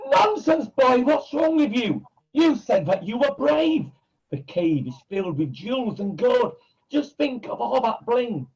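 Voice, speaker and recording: Swooping, scheming pantomime villain. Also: male voice, very high voice, very animated, a little slow, a little mellow, breathy edge, full character voice, rough recording. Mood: impatient, commanding. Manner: theatrical, grandiose, wheedling. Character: scheming male pantomime villain posing as kindly long-lost uncle. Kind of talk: rhyming pantomime acting.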